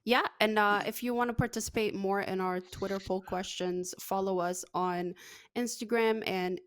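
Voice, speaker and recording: A woman with a mid-pitched voice presents a segment on a talk show. She speaks informally, as in casual on-air chat. The sound is dry, without room echo. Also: fairly even intonation, normal speed. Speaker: show's social media presenter.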